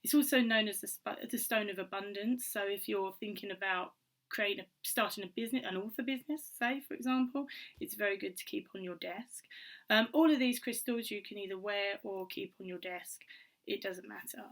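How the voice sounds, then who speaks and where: A woman vlogger, slightly hoarse from a cold, chatting casually to camera with many ums and restarts. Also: medium pitch, a little fast. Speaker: vlogger and author.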